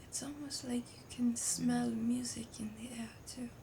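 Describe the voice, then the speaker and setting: A woman playing a playful, dreamy French roommate in an intimate ASMR roleplay, breathy and often whispering, with a French accent and a languid, teasing delivery. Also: a little high, little pitch movement, moderate pace, mellow, lightly acted. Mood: dreamy, hushed. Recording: outdoor summer ambience noise behind voice. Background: birdsong, crickets, wind chimes.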